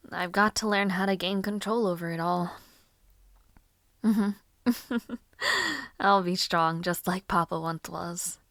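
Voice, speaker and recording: Woman voicing a young noble mage girl, giggly, formal speech, soft whispers, unhurried, dry close sound. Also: on the low side, vocal fry, no echo, exaggerated voice. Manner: giggly, formal old-fashioned phrasing, soft whispered asides. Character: spirited young noblewoman mage, strong-willed and playful. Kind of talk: audio roleplay.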